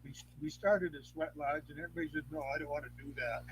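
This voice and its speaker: A man's voice: a little high, fast talker, noisy background. An older man with an American accent chatting casually in a rambling, folksy, anecdotal way. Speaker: interview guest.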